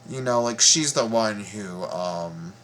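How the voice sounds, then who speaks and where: Man, casual reaction commentary, slow pace, halting and repetitive, low pitch, light noise behind. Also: expressive, a bit bright. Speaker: reaction video host.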